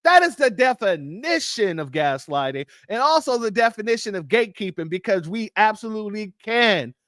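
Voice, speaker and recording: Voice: medium pitch, sing-song, normal speed, fairly bright tone, no echo, clean studio sound. A host reacting to a clip on a livestream, speaking in a heated, emphatic way. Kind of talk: livestream commentary.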